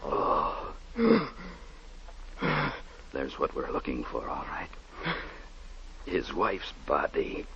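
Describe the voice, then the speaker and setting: An actor playing a plain-spoken, dutiful police sergeant in a 1940s radio drama. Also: male voice, a bass voice, extremely slow, pauses often, heavily acted. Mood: grim. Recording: muffled, noisy old radio recording.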